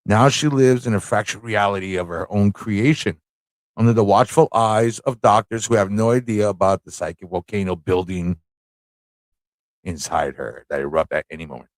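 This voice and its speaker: A man's voice: fairly low pitch, moderate pace, no room sound. A man hosting a casual live stream, thinking aloud in an easygoing, informal way as he chats, reads aloud and reacts.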